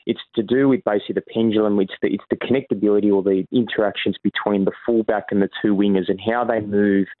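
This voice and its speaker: A man's voice: lowish voice, fairly even intonation, fast, few pauses, dry recording. An Australian man talking casually as a podcast guest, with frequent restarts and self-corrections. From New South Wales, Australia. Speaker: rugby league journalist guest. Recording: dry, phone-like narrow sound.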